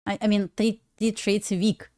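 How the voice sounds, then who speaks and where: A woman's voice: medium pitch, level tone, dark tone. Young belarusian woman w/ russian accent, chatty livestream host, laughs a lot, stop-start talk. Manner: chatty, laughs often, restarts and halting phrasing. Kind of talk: casual livestream chat.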